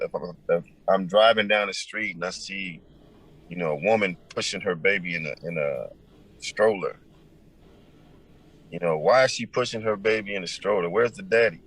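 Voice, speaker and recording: Man with a deep, powerful low voice; reflective interview guest, unhurried, searching for words. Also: a bit bright, poor audio. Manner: thoughtful, pauses with many ums. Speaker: musician guest. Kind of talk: casual interview answers.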